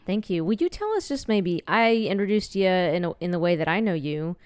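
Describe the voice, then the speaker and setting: A woman from Texas with a Southern accent, co-hosting a podcast and telling stories in a lively, easy way. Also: lowish voice, fairly quick, clear, resonant tone, no echo. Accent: Southern US, Texan. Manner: warm storytelling, laughs easily. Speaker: podcast co-host. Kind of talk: casual interview chat. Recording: dry close sound.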